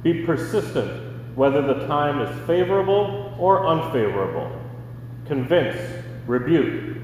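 An American man reading scripture aloud in church in a measured, formal manner. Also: on the high side. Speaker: scripture reader. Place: large indoor church. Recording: very echoey, distant, noisy hall.

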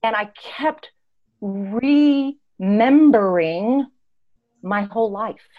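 A woman in her fifties from East Africa, an interview guest telling reflective personal stories in an expressive, emphatic way. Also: mid-range voice, very slow, phone-quality sound. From born and raised in East Africa.

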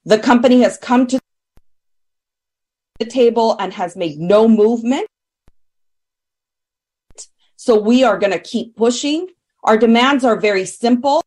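A woman speaking as a union representative at a press briefing, firm and deliberate as she lays out points. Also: on the high side, a little mellow, rough recording. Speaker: hotel workers' union representative. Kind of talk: spoken statement at a press briefing.

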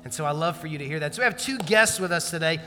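A man leading a church service as pastor, talking loosely and conversationally, full of likes and restarts. His voice sits fairly high and has a bright, forward edge. It rings through a large hall with a long reverb, and music plays softly underneath. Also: expressive, very fast. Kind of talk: church announcements and introduction.

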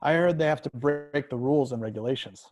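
A man's voice: on the high side, a little fast, natural voice. A man acting an eager, unsure trainee agent in a screenplay reading, hesitant and full of questions. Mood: curious.

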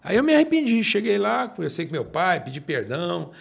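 A man in his sixties with a Brazilian accent, a pastor telling his own story in conversation and slowing down to stress his points. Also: high-pitched, sing-song, gravelly, husky. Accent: Brazilian Portuguese. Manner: emphatic, slows down to stress points.

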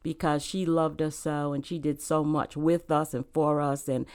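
Woman who grew up in rhode island, low-ish voice, sincere and churchy, easy interview chat. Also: level tone, moderate pace, darkish tone, smooth. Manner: earnest, speaks openly of her faith. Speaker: guest, church member and author. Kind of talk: casual interview.

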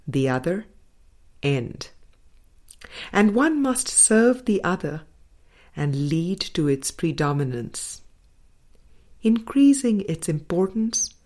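A low-voiced woman reads a spiritual audiobook at an unhurried pace. Her phrasing is deliberate and measured, with frequent pauses between short phrases. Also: darkish tone. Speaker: audiobook reader. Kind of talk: scripted spiritual audiobook narration.

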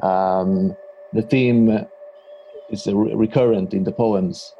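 A man interviewing a guest on a podcast, framing his questions hesitantly, with many pauses and restarts. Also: lowish voice, steady tone, a little hiss or hum. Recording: dull lo-fi sound.

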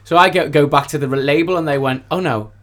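A man's voice: on the high side, fast talker, crowd noise. A man speaking as a singer-songwriter guest in a casual interview, effusive and rambling, often repeating his phrases.

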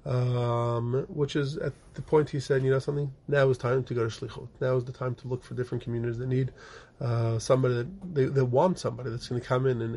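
A man's voice: medium pitch, creaky, a little mellow. A middle-aged man, born in the United States and raised in Israel, telling his life story as a rabbi guest on an interview show, with many fillers and restarts. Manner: rambling, frequent fillers and restarts. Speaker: guest, rabbi and organization director. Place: office.

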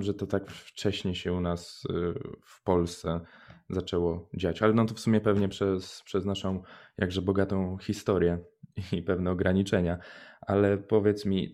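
A man's voice: low voice, darkish tone. A young man co-hosting a radio show, moving between prepared narration and casual chat. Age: young adult.

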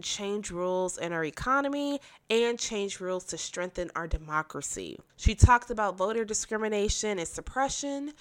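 A woman's voice: mid-range voice, fairly bright tone, smooth. A young woman hosting a casual political podcast while stuffy and nasal from a cold, talking bluntly and with sass. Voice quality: nasal, congested. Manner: blunt, sassy, emphatic. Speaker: podcast host.

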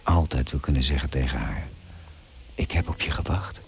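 An actor playing a melancholy hotel owner in a radio drama, looking back after the war in wistful, measured narration. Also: male voice, very deep voice, vocal fry, a little hiss or hum. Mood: wistful, brooding. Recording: music under the voice.

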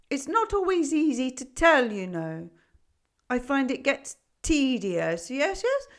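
A woman voicing a lonely, blind old goat with a gruff, cobwebby voice and a fussy way of talking, in a read-aloud storybook. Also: high voice, bright, forceful tone, pitched above the performer's own voice, full character voice. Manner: fussy, keeps asking "yes, yes?". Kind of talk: storybook reading.

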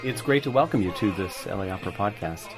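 A man's voice: sits low, lively intonation, fast talker, loud music behind the voice. A man interviewing a guest in a casual, friendly way with short prompts and questions.

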